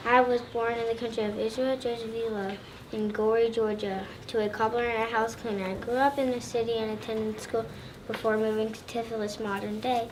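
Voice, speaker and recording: A child of about eight or nine reciting a scripted first-person school presentation as a historical figure. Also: medium pitch. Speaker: third grade student presenter. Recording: distant mic, loud room noise.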